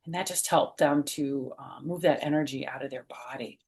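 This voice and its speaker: A middle-aged woman reading a picture book aloud for children, with gentle teacherly phrasing and chatty personal asides. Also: rumbling low, moderate pace, vocal fry.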